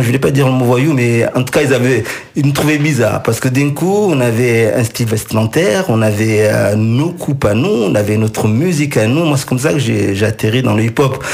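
A gravelly-voiced middle-aged man talks as a musician on a radio interview. His is Swahili, and he wanders through his memories with frequent restarts and fillers. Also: medium pitch, darkish tone. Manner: rambling, many restarts and fillers. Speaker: musician guest.

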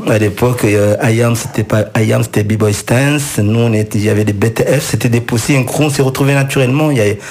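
Gravelly middle-aged man, rambling memories. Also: medium pitch, a little mellow. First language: Swahili. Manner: rambling, many restarts and fillers. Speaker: musician guest. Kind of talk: radio interview, reminiscing.

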